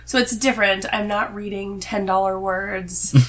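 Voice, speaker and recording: A woman's voice: medium pitch, fairly even intonation, moderate pace, a bit bright. A woman talking casually as a guest on a podcast. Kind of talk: casual chat.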